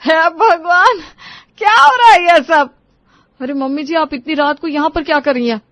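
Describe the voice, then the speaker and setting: A woman's voice: extremely high pitch, moderate pace, fairly bright tone, dry recording. A woman playing a young, exasperated daughter-in-law with a dramatic way of talking in a cartoon story. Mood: startled. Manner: complaining, dramatic. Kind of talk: acted dialogue in a cartoon story.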